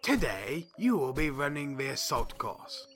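An actor playing a brusque, scornful drill sergeant duck in an audio drama, barking sarcastic military orders. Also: male voice, mid-range voice, sing-song, a little slow, over a noisy crowd, very noisy.

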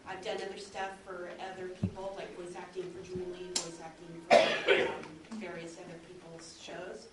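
A woman's voice: lowish voice, little pitch movement, normal speed, background noise. A woman introducing herself informally as a writer, producer and voice actor on a convention panel. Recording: echoey room, distant mic, crowd murmur.

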